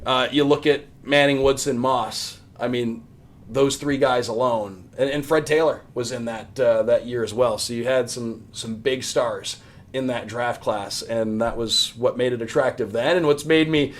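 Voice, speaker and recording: A man's voice: medium pitch, fairly even intonation, measured pace. An American man in his thirties chatting casually and enthusiastically as a podcast guest about his hobby. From US Midwest. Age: 30s. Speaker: podcast guest, sports radio play-by-play announcer.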